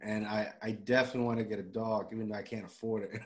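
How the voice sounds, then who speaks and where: A man's voice: on the low side, level tone, quick pace. A man from Puerto Rico hosting a podcast, talking in a loose, rambling way with many restarts and fillers. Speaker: podcast host. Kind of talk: casual interview chat.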